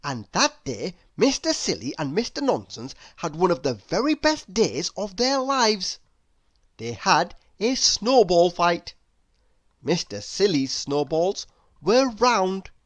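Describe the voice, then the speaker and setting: A woman with a slightly low voice narrates a children's read-aloud. Her delivery is slow and expressive, with very wide swings of intonation, as she addresses the listener directly. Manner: expressive, asks the listener questions. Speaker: storyteller.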